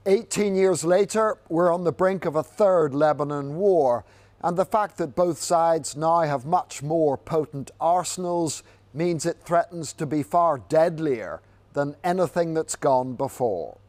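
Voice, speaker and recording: A male news correspondent delivers a scripted report. His voice sits a little high for a man, and the delivery is that of formal broadcast narration. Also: a little slow, darkish tone, dry recording.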